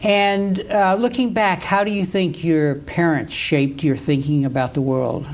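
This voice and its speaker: A man's voice: fairly high pitch, fairly even intonation, a little slow, a little hiss or hum. Man hosting an academic interview. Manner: formal introduction, then hesitant questions with repeated words. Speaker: host, interviewer.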